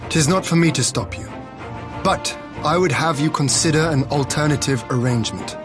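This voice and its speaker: A man's voice: a little high, normal speed, natural voice. A man playing a noble, resolute young lord in a video game cutscene, speaking in grave, formal, old-fashioned phrases over music. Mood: measured, earnest. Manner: formal, archaic turns of phrase.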